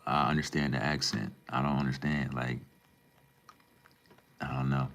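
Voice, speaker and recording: Very deep-voiced laid-back male streamer. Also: moderate pace, noisy background. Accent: Minnesota. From Minneapolis, Minnesota. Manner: laid-back, relaxed slang, calls viewers bro and man. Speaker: livestreamer. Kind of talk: casual live stream chat, reading and answering viewer comments.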